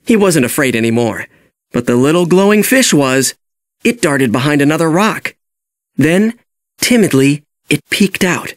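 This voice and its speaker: A man reading a children's story as an expressive, scripted read-along narration. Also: on the high side, unhurried, no echo, studio quality. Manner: animated storytelling.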